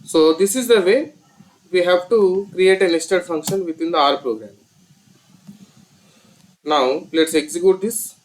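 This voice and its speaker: A man's voice: sits high, a little slow, pauses often, a little hiss or hum. A man with an Indian accent explaining step by step and repeating himself as a programming instructor in a tutorial. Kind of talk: screen-recorded tutorial explanation.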